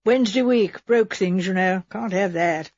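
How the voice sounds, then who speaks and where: A gruff, husky English spinster of fifty, acted out by a woman reading a story. She is brisk, blunt and opinionated, speaking in clipped sentences. The recording is dull and boxy. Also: medium pitch, a little mellow, no echo, close to an everyday voice. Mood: blunt, disapproving.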